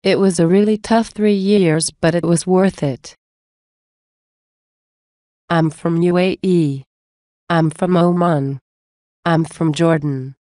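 Woman reading english practice lines real slow, dark mellow voice, dry close sound. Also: on the low side, steady tone, no room sound. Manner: measured, repeats each phrase slowly. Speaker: English teacher reading example phrases. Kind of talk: slow scripted phrase reading.

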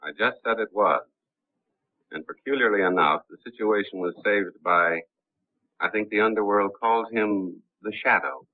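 An actor playing a cool, suave gentleman sleuth in a 1930s film, speaking smoothly and politely on a tinny soundtrack. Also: lowish voice, moderate pace, natural voice. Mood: cool, courteous.

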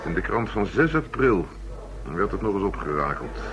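Low-voiced methodical police inspector. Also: male voice, very animated, moderate pace. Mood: businesslike. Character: male police chief inspector methodically reviewing murder cases. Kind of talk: radio drama acting, naturalistic dialogue. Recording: dull lo-fi radio recording, crowd murmur.

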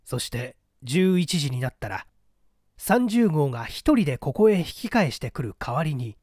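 A male audiobook narrator reads a story in a grave, deliberate and dramatic way. His voice sits slightly high and moves through a wide range of pitch. The recording is very dry. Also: sing-song, a little mellow, dry recording. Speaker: audiobook reader, stage actor. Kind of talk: dramatic scripted literary narration.

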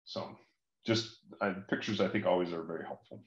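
A man's voice: low voice, normal speed. A man giving an informal, explanatory technical presentation over a video call. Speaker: conference presenter. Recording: roomy, dull video call audio.

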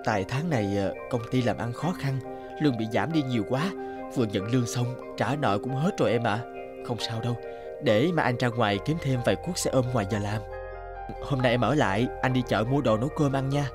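A man's voice: mid-range voice, a little fast, over music, lightly acted. Man playing an earnest young cartoon suitor. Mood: nervous, polite. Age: young adult. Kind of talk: cartoon voice acting.

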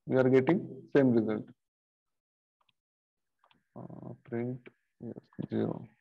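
A middle-aged man teaching programming over a screen-share video call, talking slowly and haltingly with many fillers and repeated okays. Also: medium pitch, fairly even intonation, gravelly. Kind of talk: screen-share coding lesson. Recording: dull lo-fi sound.

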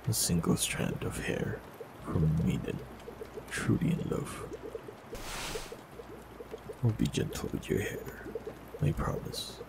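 A man with a very deep, gravelly voice speaks calmly and gently to the listener. His delivery moves freely up and down. A clear hiss sits under the recording. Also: slow pace, long pauses. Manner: calm, gentle, reassuring. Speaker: warlock in an audio roleplay. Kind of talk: scripted audio roleplay, speaking to the listener.

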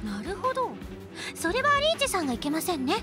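High-voiced, kindly magic teacher. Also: female voice, huge pitch swings, quick pace, music in the background, full character voice. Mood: gently correcting. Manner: polite, gently instructive. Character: kind, devoted young female magic teacher. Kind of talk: anime-style radio drama acting.

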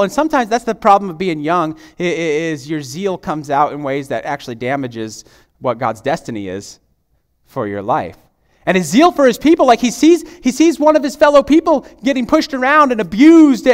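A man in his thirties preaching a sermon on a church stage, moving from a quiet prayer to emphatic, climbing preaching. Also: high voice. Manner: prays softly, then preaches with rising, emphatic intensity. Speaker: pastor. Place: church stage, large auditorium. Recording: long hall reverb.

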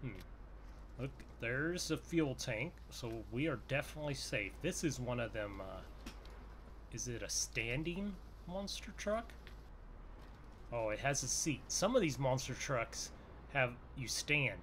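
A man's voice: medium pitch, sing-song, a little slow. A man giving casual, folksy gameplay commentary in roleplay, thinking aloud as he goes. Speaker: gaming video host. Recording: loud vehicle engine noise behind. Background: game truck engine sounds.

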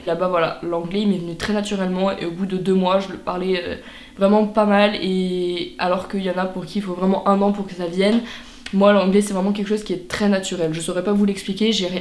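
Teen girl vlogging in her bedroom, chatty, lots of fillers, mid-pitched voice, roomy echo. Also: darkish tone. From France. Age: teens. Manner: chatty, frequent fillers and restarts. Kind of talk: casual vlog to camera. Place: bedroom, indoors.

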